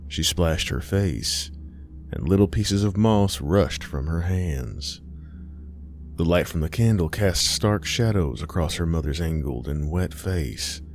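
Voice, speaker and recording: A man's voice: sits low, creaky, darkish tone. A man narrating a scripted horror story as an audiobook-style reader.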